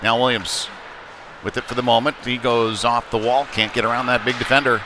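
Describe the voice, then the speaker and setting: A man giving live play-by-play commentary on ice hockey in a halting way, with frequent pauses. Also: medium pitch, steady tone. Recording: noisy live rink broadcast.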